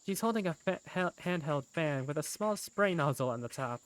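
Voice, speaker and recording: A woman's voice: sits low, lively intonation, normal speed. A woman narrating an amateur visual novel in the first person as its lead character, with a wry, conversational manner.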